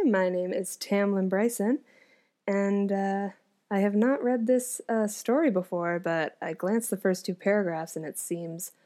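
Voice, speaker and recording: A woman's voice: medium pitch, warm tone. A young woman from Canada reading an old fairy tale aloud, breaking off for laughing, joking asides. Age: young adult. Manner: laughs often, joking commentary between passages. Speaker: guest reader.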